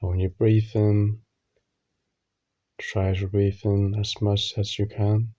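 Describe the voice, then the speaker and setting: Slow, deep-voiced man guiding meditation. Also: level tone, no room sound, highs cut off. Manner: gentle instruction in short phrases with long pauses. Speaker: meditation guide. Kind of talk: guided meditation narration.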